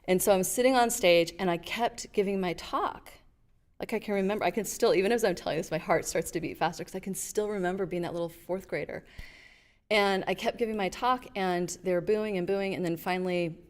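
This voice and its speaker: A middle-aged woman, a guest on an interview podcast, telling stories from her life in an animated way and acting out the voices in them. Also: mid-range voice, fairly quick. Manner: animated storyteller, acts out quotes. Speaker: guest, leadership advisor. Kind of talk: casual interview storytelling.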